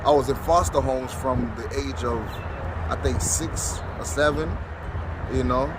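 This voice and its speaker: A man in his thirties talks haltingly outdoors, filling his answers with soft fillers and restarts at a middling pitch. Loud city traffic roars close behind him the whole time. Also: fairly even intonation. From Florida. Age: 30s. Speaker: interviewee. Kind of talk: street interview answers.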